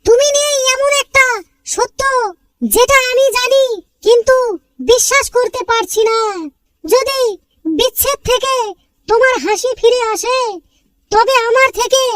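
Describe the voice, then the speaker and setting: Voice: very high-pitched, fairly bright tone, no echo, clean studio sound. A performer voicing a small cartoon character who recites sentimental love lines in a mournful, earnest way.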